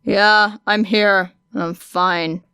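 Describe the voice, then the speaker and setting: A woman voicing a tired, gruff grown man who talks in curt, clipped lines. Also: mid-range voice, steady tone, takes it slowly, pushed and bright, dry recording.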